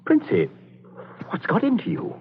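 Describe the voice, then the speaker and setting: An actor playing a bluff, chatty middle-aged gentleman captain with hearty, clipped manners, in a 1940s radio drama style. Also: sits high, huge pitch swings, unhurried, full character voice. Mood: startled. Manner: hearty, clipped, old-fashioned gentlemanly phrasing. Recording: muffled, noisy 1940s radio recording.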